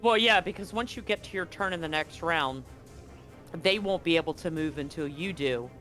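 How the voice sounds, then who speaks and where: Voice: medium pitch, normal speed, a bit bright. A game master running a live tabletop game, explaining the action and rules in a casual, conversational way. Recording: music behind the voice. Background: background music.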